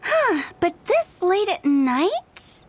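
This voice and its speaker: A woman's voice: very high voice, big pitch swings, extremely slow, a little hiss or hum, heavily acted. A woman voicing a playful, mischievous young woman who teases and speaks in a sing-song way, in a video game.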